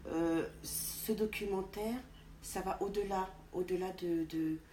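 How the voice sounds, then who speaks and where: A woman from France, a guest in an interview, speaking deliberately with frequent restarts. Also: on the low side, steady tone, dark tone. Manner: deliberate, halting with many restarts. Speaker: interview guest, party official. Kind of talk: conversational interview. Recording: echoey room with faint background noise.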